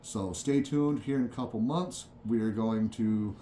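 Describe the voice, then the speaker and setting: A man's voice: fairly low pitch, measured pace, mellow, slight background noise. A man gives a casual, plain-spoken product review as a vlog host, talking conversationally in an echoing room. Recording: roomy, echoing room sound.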